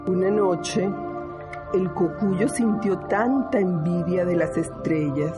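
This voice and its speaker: A woman narrating a children's story in an amateur radio play, in a slow, careful storybook manner. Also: on the low side, over music.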